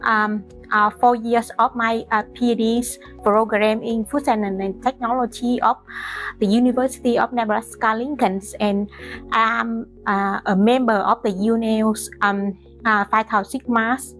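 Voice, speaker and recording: Female PhD student from Vietnam, slightly high pitch, halting self-introduction. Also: steady tone, fairly bright tone, faint music behind, rough recording. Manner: hesitant, frequent filled pauses.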